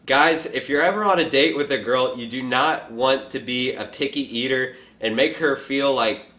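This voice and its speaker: A twenty-year-old man hosting a casual question and answer vlog from a home kitchen, relaxed and joking, with lots of filler likes. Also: mid-range voice, normal speed. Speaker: fitness vlogger answering viewer questions. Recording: echoey room.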